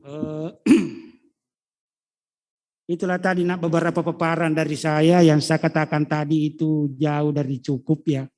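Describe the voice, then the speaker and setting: A middle-aged man gives a talk as a guest history teacher. He speaks to the students in a fatherly, unhurried way and often stops on hesitant fillers while he searches for the next word. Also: fairly high pitch, little pitch movement, darkish tone. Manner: fatherly, calls listeners child, hesitant fillers. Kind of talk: lecture answering students.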